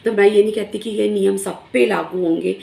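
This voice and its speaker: A middle-aged woman teacher with a hoarse, recovering throat, talking earnestly to fellow teachers into a phone camera, pausing often with fillers. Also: mid-range voice, steady tone, mellow. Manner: earnest, frequent filler pauses. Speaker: primary school teacher. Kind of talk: casual explanatory talk to fellow teachers. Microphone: phone camera in selfie mode. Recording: echoey room.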